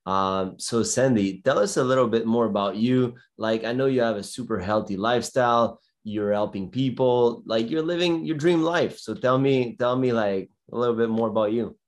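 A man's voice: medium pitch, normal speed, hardly any pauses. A man hosting an interview podcast, talking loosely and rambling with lots of "like" fillers. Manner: loose, rambling, heavy use of "like". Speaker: podcast host.

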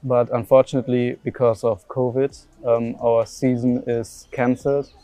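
A young man from Germany, a footballer, answering questions in a flat, even tone. He pauses often and fills gaps with ums and likes. Faint music plays behind him. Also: medium pitch, dry recording. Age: young adult. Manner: hesitant, lots of fillers and restarts. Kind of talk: casual interview answers.

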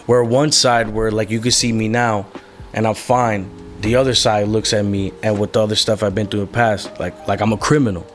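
A man's voice: on the low side, steady tone, fairly quick, soft background music. A young man, a musician, talking candidly about his life in a rambling way, with many fillers and casual swearing. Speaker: musician being interviewed. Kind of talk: candid personal conversation.

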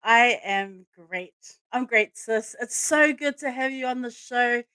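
A woman's voice: a little high, slow pace, bright, forceful tone, clean tone, dry recording. A woman hosting a casual interview podcast, enthusiastic and speaking to her guest in a familiar, sisterly way. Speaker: podcast host.

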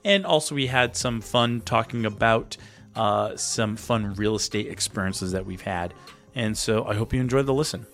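A man's voice: fairly low pitch, normal speed, fairly bright tone, faint music behind. A man in his thirties hosting a casual podcast, rambling and joking with plenty of fillers and restarts. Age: 30s. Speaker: podcast host. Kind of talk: casual chat.

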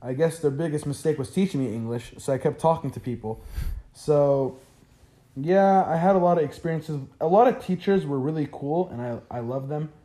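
A young man in his first year of college answers questions in a relaxed, talkative way, often leaning on "like." His voice is warm and mellow, and his first language is Arabic. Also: a little high, normal speed. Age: young adult. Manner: chatty, frequent "like" fillers. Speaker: interviewee, college freshman. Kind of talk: casual interview answers.

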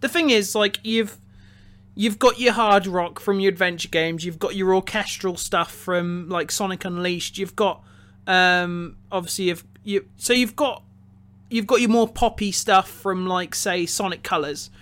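A man co-hosting a podcast, talking casually about films in a halting way, often repeating words as he goes. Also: high voice, fairly even intonation, fairly bright tone. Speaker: podcast co-host reviewing a film. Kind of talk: casual opinionated discussion.

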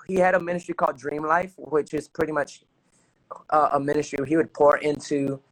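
A man's voice: a little high, little pitch movement, a little fast, fairly bright tone, low-quality recording. A man from New Jersey with a Dominican-influenced Jersey accent, talking casually as an interview guest, repeating himself for emphasis and teasing playfully. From New Jersey, USA.

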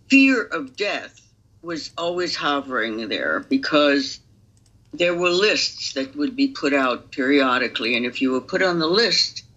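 A woman in her eighties from Romania giving personal testimony as an interview guest, grave, deliberate and halting. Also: very deep voice, a bit bright, lo-fi sound. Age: 80s.

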